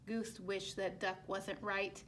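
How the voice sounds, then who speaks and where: A woman's voice: mid-range voice, flat delivery, takes it slowly, fairly bright tone. A woman teacher narrating a picture book expressively as she reads aloud to children.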